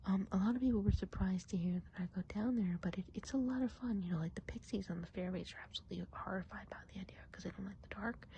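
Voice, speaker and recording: Softly whispering, gossipy witch played by a woman. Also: medium pitch, quick pace, few pauses, muffled recording, slight background noise, lightly acted. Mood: chatty, enthusiastic. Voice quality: soft breathy whisper. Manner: gossipy, confiding, hesitant casual phrasing. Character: chatty, gossipy woodland witch planning a fairy party. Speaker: ASMR performer. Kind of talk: whispered ASMR roleplay.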